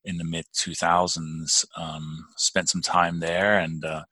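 A man's voice: sits low, little pitch movement, takes it slowly, sharp tone, husky, no room sound. A man in his forties with a Danish accent, answering interview questions hesitantly, with many fillers and restarts. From Denmark. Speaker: podcast guest.

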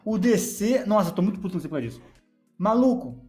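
Young Brazilian man, casual host, rapid speech, frank and swearing, faint music bed. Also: sits high, very animated, a little mellow. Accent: Brazilian Portuguese. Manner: blunt, rapid, swears freely. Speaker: podcast host. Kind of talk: casual chat.

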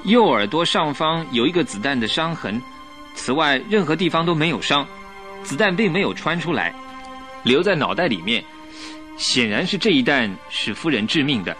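A man's voice: fairly high pitch, sing-song, moderate pace, sharp tone, soft background music. An actor playing a cocky, insistent police inspector in a radio drama, emphatic and pressing as he questions. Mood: self-assured. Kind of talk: Chinese radio drama acting.

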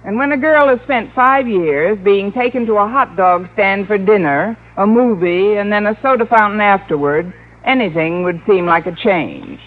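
An actress playing a wry, sensible single schoolteacher in a radio sitcom, narrating and talking with dry wisecracks. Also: female voice, medium pitch, moderate pace, lightly acted. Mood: wry narration. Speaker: star and narrator of the comedy. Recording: muffled old radio recording, studio audience.

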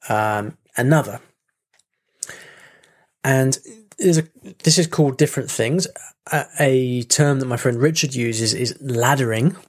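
A man hosting a solo podcast, talking casually with many fillers, restarts and small laughs. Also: medium pitch. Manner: chatty, self-interrupting with fillers and laughs. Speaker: podcast host.

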